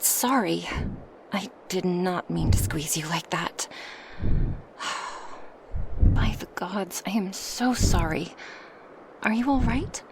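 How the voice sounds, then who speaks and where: Woman voicing a slow, creaky-voiced teasing dragoness. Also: mid-range voice, background noise. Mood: startled, apologetic. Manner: slightly formal phrasing, teasing, reassuring. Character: huge proud dragoness, teasing yet protective of her human. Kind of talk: ASMR roleplay monologue addressed to the listener.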